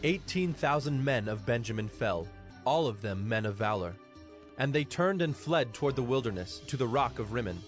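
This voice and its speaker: A man narrates scripture as part of a dramatized audio production. His pitch sits in a middle male register, and music plays softly behind him. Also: expressive, normal speed. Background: background music.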